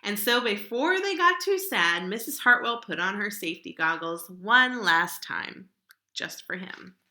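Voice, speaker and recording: Bright, expressive woman reading in an echoey room. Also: mid-range voice, measured pace. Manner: expressive and dramatic, drawing out key words. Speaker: storybook reader. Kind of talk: children's picture book read-aloud.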